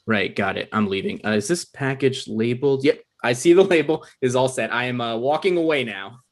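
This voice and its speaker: An actor playing an awkward, eager, put-upon young job seeker with a halting, flustered way of talking in a comedy table read. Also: male voice, medium pitch, lightly acted. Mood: deflated.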